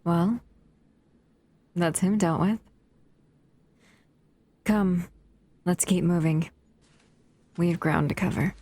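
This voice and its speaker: A woman voicing a doting, possessive female monster in an audio roleplay, speaking softly and often in a whisper. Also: lowish voice, takes it slowly, pauses often, dry recording. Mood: coolly confident.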